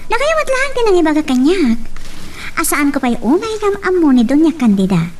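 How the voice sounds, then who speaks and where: A woman with an Ilocano accent acting a spirited, expressive character in an audio drama, with probing, drawn-out questions. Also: high voice. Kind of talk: Ilocano radio-style love drama acting. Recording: slightly distant mic, faint noise.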